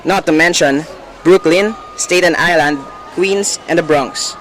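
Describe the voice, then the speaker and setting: A man's voice: fairly high pitch, measured pace, fairly bright tone, poor audio. A young man acting a skeptical, dismissive police desk officer in an amateur radio play, patronizing and matter-of-fact, with background noise. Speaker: student actor in a school radio play.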